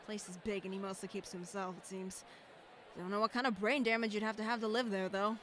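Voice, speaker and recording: A woman acts out a street-smart visual novel woman with a medium-pitched voice. She talks in blunt slang and stays matter-of-fact, over a faint background ambience. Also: fairly even intonation, fast, a bit bright, a little hiss or hum, close to an everyday voice. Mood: casual, candid. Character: blunt, street-smart woman who gossips about local gangs. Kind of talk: visual novel character dialogue.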